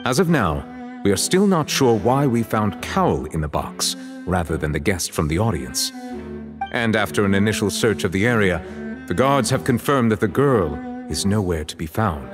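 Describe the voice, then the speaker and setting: Deep, commanding official. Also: male voice, big pitch swings, music in the background. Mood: calm, grave. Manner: formal, measured, authoritative. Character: composed, commanding male official, formal and authoritative. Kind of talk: dramatic video game acting.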